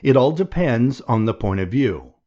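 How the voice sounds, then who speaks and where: A man reading a nonfiction audiobook aloud in a measured, deliberate way. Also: mid-range voice, animated, dark tone, highs cut off. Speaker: audiobook reader.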